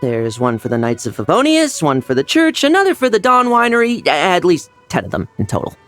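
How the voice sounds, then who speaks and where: A woman's voice: fairly low pitch, huge pitch swings, brisk, fairly bright tone, faint music behind. A woman voicing a brash, cheerful, battle-hungry young knight in a video game, with a bold, boisterous manner. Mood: breezy, confident. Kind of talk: video game character dialogue.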